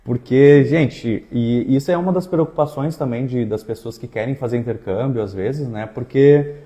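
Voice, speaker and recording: A man's voice: mid-range voice, moderate pace, warm tone. A man with a southern Brazilian accent in casual conversation, telling personal stories with slang and frequent tag questions. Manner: colloquial, uses slang and frequent tags. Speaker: exchange agency staff member co-hosting a talk.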